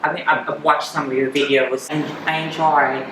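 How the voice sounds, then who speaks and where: A woman in her thirties with a Filipino accent, chatting candidly as an interview guest, often halting and repeating words. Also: low voice, level tone. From Philippines. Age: 30s. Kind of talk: personal interview chat. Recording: echoey room with clear background noise.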